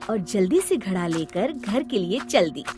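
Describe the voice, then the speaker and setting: A woman storyteller giving an expressive, dramatic scripted narration of a children's story. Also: mid-range voice, unhurried, over music.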